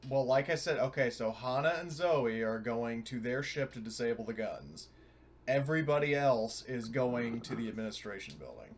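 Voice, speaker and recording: A man's voice: mid-range voice, expressive, moderate pace, slight background noise. A man playing a tabletop game, casually but insistently talking through details with the other players.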